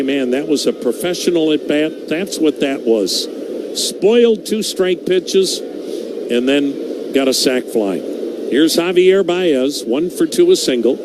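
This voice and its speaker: Unhurried radio baseball announcer, noisy feed. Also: male voice. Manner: measured, calls each pitch and count. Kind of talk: baseball play-by-play commentary. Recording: noisy broadcast audio.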